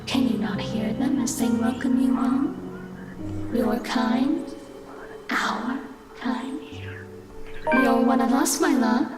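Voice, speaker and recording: Creepy possessive ghost lady for a horror game, super slow n solemn, echoey, music loud behind. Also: high voice. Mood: eerie, beckoning. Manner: drawn-out, solemn delivery. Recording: heavy echo on the voice. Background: prominent game music.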